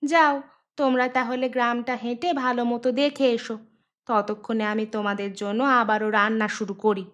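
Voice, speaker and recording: A woman's voice: fairly high pitch, little pitch movement, brisk, fairly bright tone, natural voice. A woman playing an outwardly hospitable but exasperated village aunt in a fairy-tale cartoon, with music behind. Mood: polite, patient.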